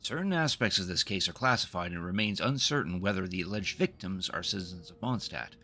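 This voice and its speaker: Man reading as a solemn, bright-toned knight commander. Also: low-pitched, animated, a little fast, heavily acted. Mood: formal. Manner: formal, read-aloud. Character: solemn, formal woman knight commander presiding over trial. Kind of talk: reading game dialogue aloud.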